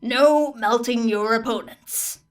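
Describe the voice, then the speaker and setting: A woman's voice: on the high side, takes it slowly, far from the mic. Woman putting on a weary, exasperated male teacher.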